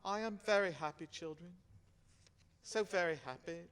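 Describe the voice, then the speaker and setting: Man acting high, bright-voiced grieving gentle father. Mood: tender, bittersweet. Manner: tender, halting, earnest. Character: gentle, grieving, loving working-class father. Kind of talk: radio play acting. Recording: long hall reverb.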